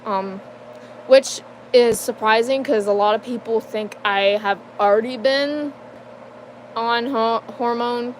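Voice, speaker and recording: A young adult woman giving a casual personal life update, halting and full of fillers, with nervous laughs between sentences. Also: medium pitch, a little hiss or hum, rough recording. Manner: hesitant, halting, many fillers and restarts. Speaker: vlogger.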